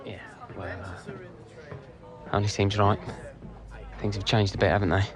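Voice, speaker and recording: An actor playing a cocky, blunt young English footballer in a naturalistic game cutscene. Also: male voice, lowish voice, level tone, unhurried, lightly acted. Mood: wry. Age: young adult.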